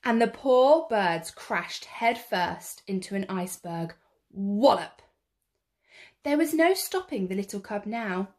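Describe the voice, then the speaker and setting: Woman storyteller, lively and unhurried. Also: mid-range voice. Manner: theatrical, drawn-out emphasis on action words. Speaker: storyteller reading a picture book to children. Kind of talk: expressive read-aloud storytelling.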